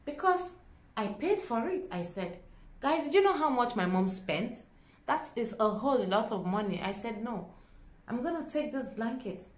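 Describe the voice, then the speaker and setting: A young woman with a Nigerian accent tells a story to camera in a dramatic, expressive way, acting out dialogue as she goes. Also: fairly high pitch, normal speed. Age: young adult. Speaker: vlogger. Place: small indoor room at home. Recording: echoey small room.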